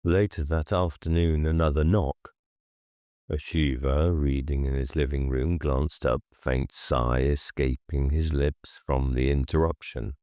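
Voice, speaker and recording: Male audiobook narrator: very deep, unhurried, deliberate horror storytelling in a dry recording. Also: fairly even intonation, a little mellow, dry recording. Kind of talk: scripted horror fiction narration.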